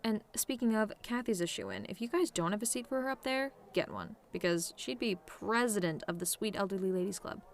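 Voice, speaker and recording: A woman acts out a tomboyish female demon with a medium-pitched voice. She is sardonic and relaxed, needling the listener with casual taunts, as in an audio roleplay. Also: a little fast, few pauses, slight background noise, natural voice. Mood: fond, casual. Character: sardonic tomboy female demon, relaxed, teasing, secretly fond of simple pleasures. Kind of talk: scripted roleplay monologue to a listener.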